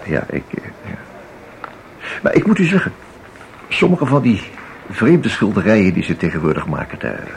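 Very deep, gravelly, flustered chatty man. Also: low-quality recording. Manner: hesitant, rambling, full of repetitions and tag questions. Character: chatty, flustered ordinary man, an amateur art lover. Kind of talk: Dutch radio play acting. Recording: audible background noise.

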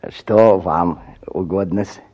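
An actor playing an officious, fussy village pharmacist with affected manners in a radio play. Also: male voice, low-pitched, takes it slowly, slightly rough, highs cut off, full character voice. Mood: affected gallantry. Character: officious, fussy male village pharmacist, smarmily gallant to women.

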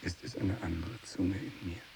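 An actor playing a fanatical, tormented renegade priest in a radio drama monologue, declaiming in anguish. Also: male voice, deep voice, normal speed, lightly acted. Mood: despairing, feverish. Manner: declamatory, anguished, swinging between cries and whispers. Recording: faint tape hiss, 1960s radio production.

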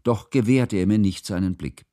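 An actor playing a precise, ironic narrator, telling a literary story in measured radio drama narration. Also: male voice, on the low side, warm tone, no echo, clean studio sound, close to an everyday voice. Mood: ironic recounting. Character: observant, dryly ironic male narrator recalling salon society.

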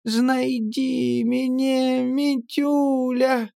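Narrator doing ghostly old grandmother, high, very slow, drawn-out pleading, dry close mic. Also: flat delivery, gravelly, warm tone, no echo, exaggerated voice. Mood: pleading, eerie. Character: ghostly grandmother calling from beyond the grave.

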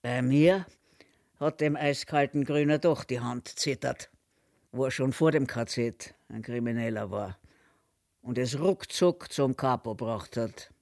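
An actor playing a shaken, haunted survivor in a radio drama monologue, halting and reflective, with a southern German or Austrian accent. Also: male voice, medium pitch, vocal fry, a little mellow, no echo, studio quality. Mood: bitter recollection.

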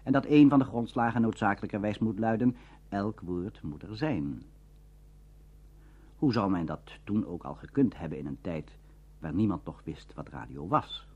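Deep, animated male documentary narrator. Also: normal speed, slightly rough. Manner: formal, carefully worded. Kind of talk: scripted narration. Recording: dull archive radio recording.

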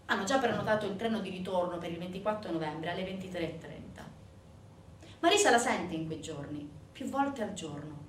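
A woman performing a scripted dramatic monologue as a stage narrator, with measured, weighted phrases. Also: on the low side, animated, a little hiss or hum. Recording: roomy, distant sound with faint music.

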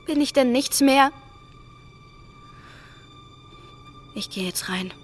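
An actress as a steadfast young working-class wife in a radio play. Her voice sits on the high side, earnest and plain-spoken, with tenderness under the firmness. Faint music runs behind her. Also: moderate pace, close to an everyday voice. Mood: tender, anxious. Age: young adult. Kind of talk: naturalistic radio drama acting.

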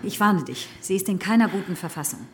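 An actress playing a stern, brisk mother in a radio drama, admonishing and clipped. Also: medium pitch, animated, lightly acted. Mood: stern worry. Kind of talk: German radio drama acting. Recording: music behind the voice.

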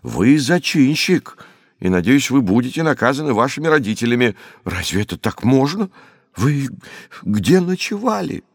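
A man's voice: medium pitch, sing-song, normal speed, breathy edge, no room sound, studio quality, voice pushed up. Man acting an indignant, scolding father, voice raised. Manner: admonishing, emphatic, formal. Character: indignant scolding father, formal old-fashioned gentleman.

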